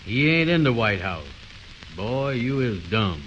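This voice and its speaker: A man's voice: mid-range voice, very animated, unhurried, a bit bright, dull audio, slight background noise. A man playing a blustering, muddle-headed know-it-all in a 1920s radio comedy, with pompous, folksy, ungrammatical talk on an old recording. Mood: exasperated.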